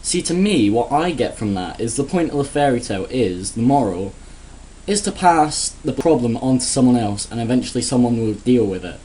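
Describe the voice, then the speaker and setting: A man rambles through a sarcastic, crude comedic rant at a mid-range pitch. He speaks in a loose, casual vlog style, and faint noise hums underneath. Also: animated, normal speed, dark tone, poor audio. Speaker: vlogger.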